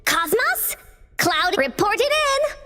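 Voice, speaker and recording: Casting: excitable game kid boss, extremely high squeaky voice, slow commanding delivery, reverberant hall. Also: female voice, slight background noise, exaggerated voice. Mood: bossy. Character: tiny, bossy, excitable childlike girl with minions. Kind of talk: video game voice acting.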